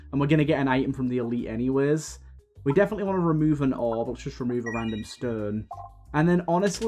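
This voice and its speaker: A man with a slightly raspy voice from a coming cold, chatting casually as he plays and comments on a video game, thinking aloud with plenty of fillers. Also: a little high, darkish tone. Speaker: gaming video host. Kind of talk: casual let's-play commentary. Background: faint game music.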